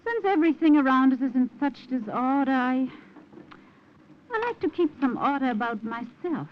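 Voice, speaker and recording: Old radio drama: wary, nervous young Austrian woman, slightly high voice, dulled broadcast sound. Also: animated, normal speed, lo-fi sound, a little hiss or hum. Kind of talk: 1950s radio drama acting.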